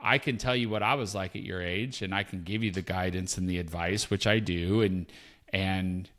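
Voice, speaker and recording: A man's voice: sits low, steady tone, moderate pace, a bit bright. A man in his fifties co-hosting a podcast, talking in a reflective, rambling way with many restarts. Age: 50s. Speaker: podcast co-host, parent. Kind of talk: casual chat.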